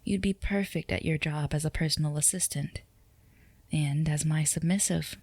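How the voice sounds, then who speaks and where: A woman playing a flirtatious, self-assured female boss in an intimate roleplay ASMR drama, speaking in a soft murmur that slips into whispers, teasing and commanding. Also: sits low, steady tone, normal speed, no echo. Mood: coaxing, flirtatious.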